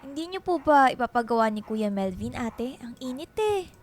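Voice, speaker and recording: A woman's voice: high-pitched, lively intonation, normal speed, a little hiss or hum. A woman playing a carefree, wheedling younger sister with a playful manner in a radio drama. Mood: casual, nosy.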